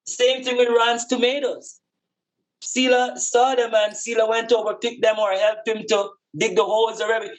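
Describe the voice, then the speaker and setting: A man's voice: very high-pitched, little pitch movement, normal speed, rough recording. A man talking casually and reflectively about life in an earnest, emphatic way, repeating phrases for emphasis. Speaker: podcast guest speaker on spirituality and community. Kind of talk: casual reasoning, reflective spiritual talk.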